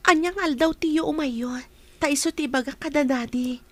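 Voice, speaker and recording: A woman playing a bright young bride-to-be. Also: high voice, normal speed, dry recording. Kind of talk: radio comedy drama acting.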